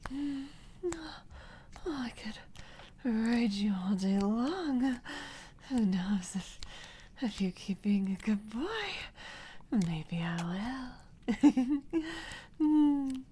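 Woman, close-mic intimate whisper, very slow and breathy, faint hiss behind. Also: medium pitch. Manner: soft breathy whisper, soothing, teasing. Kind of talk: intimate whispered audio roleplay.

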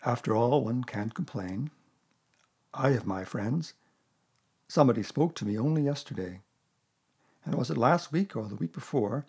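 Storybook donkey, played by a man: doleful, ponderous, put-upon, with a husky edge. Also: mid-range voice, expressive, normal speed, long pauses, darkish tone. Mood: self-pitying. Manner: doleful, ponderous, long-winded. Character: gloomy, self-important, put-upon old donkey. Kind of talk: storybook character voice.

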